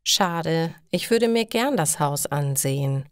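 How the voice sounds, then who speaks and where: A woman voicing a curious, daring schoolboy in a slow storybook reading for language learners. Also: sits low, animated, smooth, dry recording, studio quality. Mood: eager. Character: curious, daring schoolboy Daniel, eager and cheerful. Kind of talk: acted dialogue in a learner's storybook.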